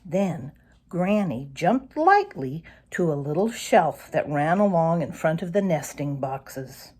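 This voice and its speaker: A woman narrates a children's story in an expressive read-aloud style. Her pitch moves widely, sits a little low, and she takes her time over the words. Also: takes it slowly, slightly rough. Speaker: storybook reader.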